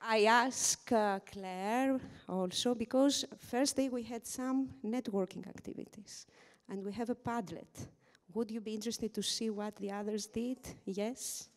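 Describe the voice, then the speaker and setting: A woman's voice: fairly high pitch, steady tone, a little slow, few pauses. A woman moderating the closing session of a workshop, speaking casually into a handheld mic, thanking the panel and talking straight to the audience. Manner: informal, thanks the panel, addresses the audience directly. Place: large hotel conference hall. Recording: long reverb of a large hall.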